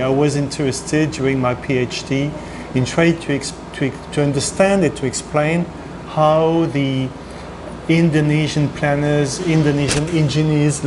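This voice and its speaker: A man giving a guest lecture, hesitant and full of fillers and restarts, in a room with air conditioning hum. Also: fairly high pitch. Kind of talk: academic lecture.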